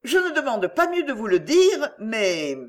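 A woman's voice: fairly high pitch, very animated, unhurried, pitched above the performer's own voice. A woman voicing a self-pitying, scheming middle-aged mother in an audiobook, plaintive, melodramatic and wheedling. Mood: tearful, wheedling. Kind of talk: acted audiobook dialogue.

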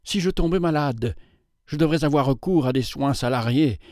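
A man's voice: medium pitch, normal speed, a little mellow, no room sound, clean studio sound, lightly acted. A man voicing an aging, gallant bachelor collector who pleads in an earnest, courtly, emphatic way.

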